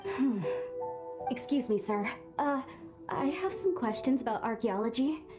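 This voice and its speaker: An actress playing a polite, curious young woman with an interest in archaeology, speaking softly and earnestly. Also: fairly high pitch, expressive, measured pace, over music. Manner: polite, soft-spoken, earnest. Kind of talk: video game voice acting.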